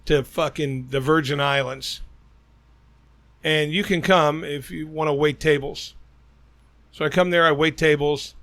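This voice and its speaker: A loud man with a Midwestern Cincinnati accent, spinning crude stories on a podcast. His voice sits a bit high, and he states his opinions flatly. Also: measured pace, pauses often. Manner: loud, storytelling, blunt opinions. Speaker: podcast co-host. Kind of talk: casual crude podcast banter.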